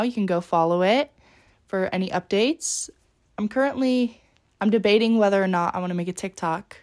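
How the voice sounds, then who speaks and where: A young woman hosting a solo podcast in a casual, rambling way, her voice a little raspy from being sick. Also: medium pitch, darkish tone. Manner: chatty, rambling, with many fillers. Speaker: podcast host.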